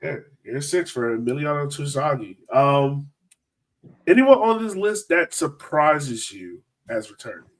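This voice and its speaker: A man's voice: on the high side, animated, measured pace, low-quality recording. A man hosting a sports fan podcast, chatting informally and enthusiastically. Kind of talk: casual panel chat.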